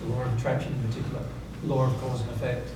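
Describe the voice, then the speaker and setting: A man's voice: mid-range voice, level tone, rapid-fire. A man teaching spiritual principles informally to an audience, emphatic, repeating key words and asking rhetorical questions to check they follow. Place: reverberant seminar room with audience. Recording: very echoey, noisy room, distant mic.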